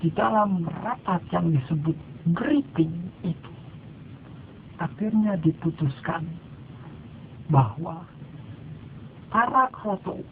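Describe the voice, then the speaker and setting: Gravelly man telling a satirical fable. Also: high-pitched. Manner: ironic, mock-solemn storytelling with dry asides. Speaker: storyteller narrator. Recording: tinny lo-fi phone-like sound with faint noise.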